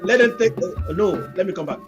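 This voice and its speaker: High-voiced man, earnest. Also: lively intonation, fairly quick, dull audio. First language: Igbo. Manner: earnest, repetitive exhortations. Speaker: interview guest. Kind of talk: remote interview. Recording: music behind.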